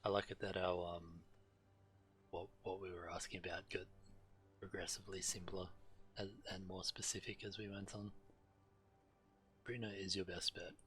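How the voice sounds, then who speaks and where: A male game streamer with a low, bright voice. He reads quest text aloud as narration and drops into casual commentary as he plays. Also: level tone, normal speed, long pauses.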